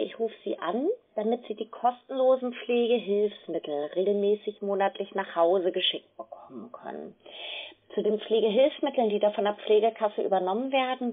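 A woman working as a call centre agent, polite and businesslike on a sales phone call. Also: medium pitch. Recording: tinny narrow phone line.

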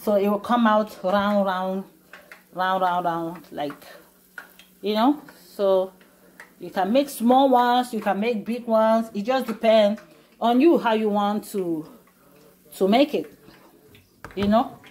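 A woman's voice: medium pitch, slow, pauses often, darkish tone. Chatty woman explaining a home recipe. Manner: chatty, explains step by step with many fillers. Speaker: cooking vlogger. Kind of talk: casual cooking demonstration. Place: home kitchen.

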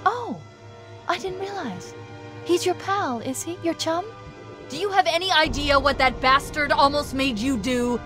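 A sharp-tongued female artificial intelligence from a video game. The voice is notably high, with biting, assertive sarcasm, over faint music. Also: a little slow. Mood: angry, sarcastic. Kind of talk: scripted video game acting.

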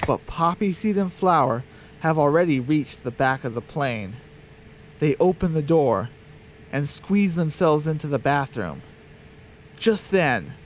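A man's voice: a little high, heavy background noise. A man narrating a scripted comedy radio drama as its storyteller.

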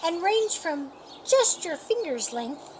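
A middle-aged woman reading a children's picture book aloud in a deliberate, expressive storytime manner. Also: very high-pitched. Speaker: storytime reader. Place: outdoors in a sunny yard by a painted wall. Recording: outdoor noise with birdsong. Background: birdsong and outdoor ambience.

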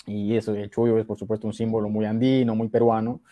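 A man's voice: on the low side, little pitch movement, fast talker, muffled recording. A young man from coastal northern Peru with a Peruvian accent, chatting casually as a guest poet on a video call. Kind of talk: casual interview chat. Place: indoor room with bookshelves, on a video call.

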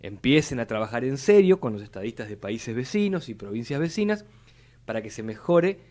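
A young man with a Buenos Aires accent talks policy at a studio microphone. His speech is formal and measured, with frequent restarts as he builds long sentences. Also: mid-range voice, very animated, warm tone. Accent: Buenos Aires Spanish. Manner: formal, repeats words while building long arguments. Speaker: city legislator, guest. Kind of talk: measured policy talk on live radio. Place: radio studio. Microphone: studio mic on boom arm.

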